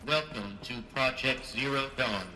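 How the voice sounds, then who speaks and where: A man's voice: mid-range voice, unhurried, lightly acted. A man voicing a polite, impassive facility computer in a video game, making formal announcements. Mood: impassive, courteous. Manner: formal, courteous, procedural. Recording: clear background ambience noise.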